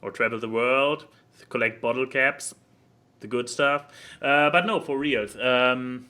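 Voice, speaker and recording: A man's voice: medium pitch, measured pace, bright, forceful tone, smooth. A man co-hosting a casual podcast over an online voice call, with a jokey, irreverent manner. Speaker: podcast co-host.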